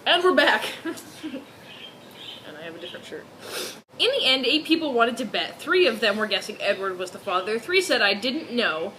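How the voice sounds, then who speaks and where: A woman's voice: a little high, very animated, normal speed, bright, forceful tone, slight background noise, poor audio. A woman giving a mock-dramatic reading of fan fiction, giggly and theatrical, with sarcastic asides.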